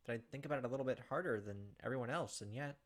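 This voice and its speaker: A man's voice: mid-range voice, very fast. A man hosting a gaming video, reading a visual novel aloud and adding casual commentary over game music. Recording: music behind the voice.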